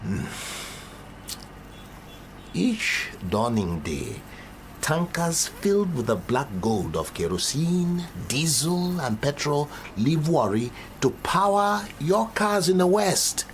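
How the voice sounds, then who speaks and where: An actor playing a wily, menacing Nigerian fixer in a radio drama, speaking theatrically with a Nigerian accent and pidgin turns of phrase. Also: male voice, fairly high pitch, big pitch swings, takes it slowly, a little hiss or hum, exaggerated voice. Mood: brooding, menacing. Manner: pidgin English, theatrical, sly and taunting. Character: wily, menacing Nigerian male fixer who acts as the law.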